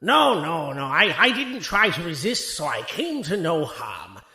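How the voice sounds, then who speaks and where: A mild, older professor in a sci-fi audio drama. His speech is bright, slow and halting, rising and falling widely as he gently chides. The room around him echoes. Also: on the high side, sing-song, full character voice. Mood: mild, reproving. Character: kindly, mild older male professor held captive.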